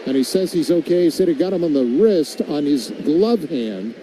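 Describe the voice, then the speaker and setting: A man calling a baseball game as a radio play-by-play announcer, describing each pitch and filling in between with commentary. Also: expressive, normal speed, mellow, a little hiss or hum, poor audio.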